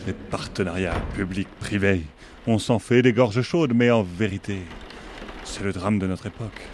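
A man's voice: lowish voice, normal speed, a little mellow, big-room sound, a little hiss or hum, natural voice. An actor playing a mock-solemn male village farrier in a satirical radio drama. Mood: mock lament.

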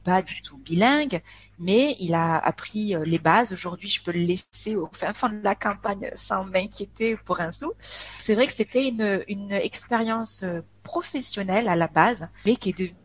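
A French woman in her early forties, speaking as a podcast guest. Her talk is loose and conversational, with frequent pauses, filler sounds and restarts. Her voice sits at an ordinary middle pitch. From France. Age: 40s. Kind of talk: casual interview chat.

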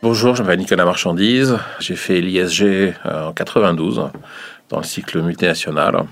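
Man, fifties, deep voice, measured interview delivery, dry close sound, faint music behind. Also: no echo. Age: 50s. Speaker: finance professional interviewee. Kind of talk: reflective interview account.

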